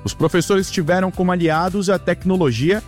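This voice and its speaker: A man reporter narrating a news feature as a scripted voice-over, in a measured way. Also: sits high, faint music behind.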